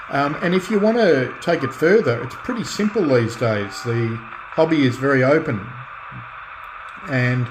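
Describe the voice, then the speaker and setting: A middle-aged man in a relaxed off-the-cuff chat as a radio hobbyist, speaking in a halting, reflective way and often restarting his phrases. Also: medium pitch, noisy background. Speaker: radio hobbyist and restorer presenting own channel.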